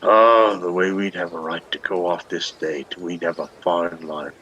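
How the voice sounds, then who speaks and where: A man's voice: deep voice, steady tone, moderate pace, bright, forceful tone, muffled recording, a little hiss or hum. An actor playing a lovestruck, shabby old Irish beggar man in a radio play, speaking in long, poetic, pleading runs. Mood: wistful, wooing. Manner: poetic, long rolling pleas. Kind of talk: lyrical stage radio-play acting.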